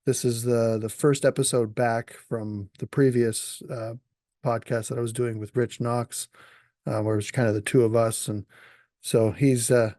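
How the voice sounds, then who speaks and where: Relaxed guy hosting a podcast, lots of ums and uhs, dead dry close sound. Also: mid-range voice, no room sound. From New Brunswick, Canada. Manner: easygoing, many ums and uhs, laughs often. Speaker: podcast host. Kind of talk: casual interview chat.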